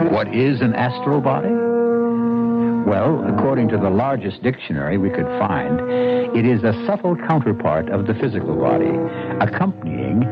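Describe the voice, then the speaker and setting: A man hosting an old-time radio mystery series with deliberate, suspenseful, theatrical scripted narration. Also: fairly low pitch. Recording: dull vintage radio broadcast. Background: loud dramatic music.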